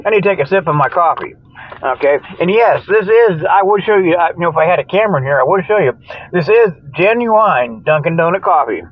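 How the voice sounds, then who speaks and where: Older male comic radio host, higher voice, rambling and folksy, dry lo-fi sound. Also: animated, brisk. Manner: folksy, jokey, chuckles at own jokes, loses his train of thought. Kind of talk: rambling tongue-in-cheek radio monologue with mock sponsor plugs.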